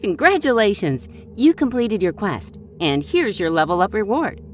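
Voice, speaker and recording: A woman's voice: medium pitch, sing-song, moderate pace, faint music behind, natural voice. A woman playing a gleeful, taunting, unhinged woman who runs a deadly game in a dramatized story. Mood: mock congratulation. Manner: theatrical, taunting.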